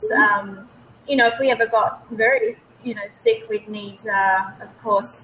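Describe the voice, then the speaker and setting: A woman's voice: mid-range voice, unhurried, sharp tone, slight background noise, low-quality recording. An Australian-accented woman in her thirties, a guest telling her own story casually and a little hesitantly over a video call. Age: 30s. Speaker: interview guest, naturopath.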